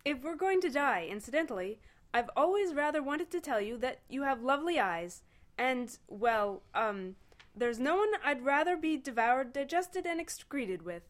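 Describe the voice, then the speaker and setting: A woman voicing an excitable, sentimental male alien in a story reading, gushing and halting with emotion. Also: sits high, voice pushed up. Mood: earnest, wistful.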